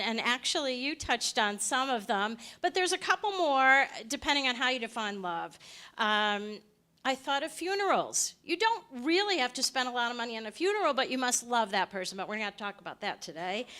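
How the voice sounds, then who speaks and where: Woman, bright voice, somewhat high, chatty joking presenter. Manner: chatty and joking, quick asides. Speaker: panel presenter. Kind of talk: lively presentation talk.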